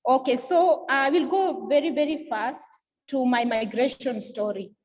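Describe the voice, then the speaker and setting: A high-voiced woman speaks earnestly and with insistence, often circling back over her own words. Her voice rings out with a long reverb, as if she were in a large hall. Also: measured pace, darkish tone. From East Africa. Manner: earnest, repeats words and restarts, emphatic. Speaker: speaker giving a talk on history. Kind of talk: impassioned informal lecture.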